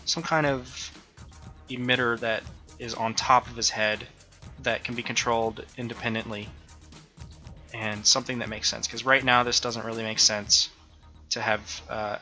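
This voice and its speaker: A man walks through his own game in a relaxed devlog, pausing often with ums and uhs as he thinks aloud. His voice is very bright and forward, sitting at an ordinary pitch for a man. Soft music plays faintly behind him. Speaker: indie game developer. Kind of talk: casual devlog walkthrough. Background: faint background music.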